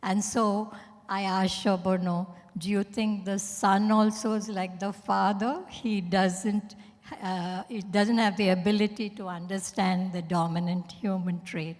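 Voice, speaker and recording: A woman's voice: mid-range voice, steady tone, a little slow, a little mellow. A woman telling anecdotes conversationally as a speaker at a live literary event, chuckling as she talks. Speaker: book editor, panel speaker. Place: large indoor event hall. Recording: echoey hall with long reverb.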